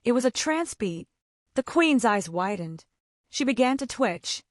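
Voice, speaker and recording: A woman storyteller narrating a scripted folktale in a theatrical, suspenseful manner with short measured phrases. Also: fairly high pitch, sing-song, dry recording, studio quality.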